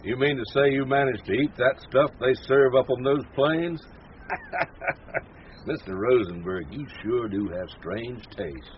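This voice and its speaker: A man's voice: mid-range voice, unhurried, lightly acted. An actor playing a folksy older American ranch foreman, rambling and plain-spoken, in a 1980s radio drama. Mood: enthusiastic. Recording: dull lo-fi radio recording with noise.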